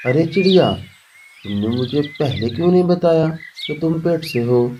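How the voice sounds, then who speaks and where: A man acts out a sly, greedy eagle from a children's cartoon. He exclaims with bluster and false friendliness, heard a little away from the mic over clear background noise. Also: on the high side, expressive, normal speed, warm tone, recorded from a distance, natural voice. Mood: falsely friendly. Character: greedy, scheming male hunter eagle feigning kindness. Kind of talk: Hindi children's cartoon voice acting.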